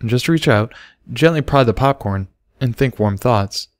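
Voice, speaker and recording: A man voicing a patient male unicorn teacher in a storybook, speaking slowly with gentle command. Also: medium pitch, no room sound, clean studio sound, voice pushed up, close to an everyday voice. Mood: patient, encouraging. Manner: slow, gentle, instructive. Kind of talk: storybook character voice.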